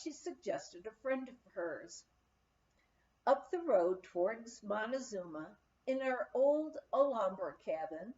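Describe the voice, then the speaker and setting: Female audiobook narrator, higher voice, unhurried storytelling delivery, slightly dull recording. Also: a little mellow. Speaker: audiobook reader. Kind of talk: scripted memoir narration, read aloud.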